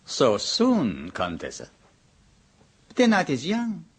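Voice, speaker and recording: A suave, mocking host. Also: male voice, on the high side, wildly swooping pitch, slow pace, full character voice. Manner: smooth, teasing, theatrical with chuckles. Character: Luigi, suave, mocking, worldly male host. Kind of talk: 1970s radio drama acting. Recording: old radio broadcast.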